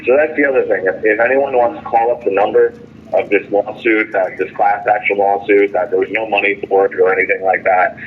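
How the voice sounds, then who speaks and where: A man's voice: on the low side, fairly even intonation, a little hiss or hum. Indignant man on a tinny phone line. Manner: halting, repetitive, with stumbling restarts; indignant, emphatic storytelling with quoted dialogue. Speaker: detainee calling in from jail. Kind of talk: unscripted grievance monologue over the phone. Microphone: telephone.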